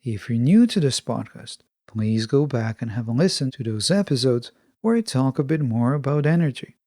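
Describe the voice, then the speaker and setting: A man hosting a self-help podcast, delivering a scripted monologue in a measured, instructive manner. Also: medium pitch, expressive, dark tone, dry recording, studio quality. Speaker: podcast host.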